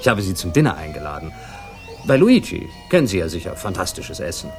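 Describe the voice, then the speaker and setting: An actor playing a naive young upper-class man, vain and easily offended, in a halting 1960s German radio crime drama performance. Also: sits low, very animated, soft background music. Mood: rueful, hesitant. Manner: hesitant, halting, affronted.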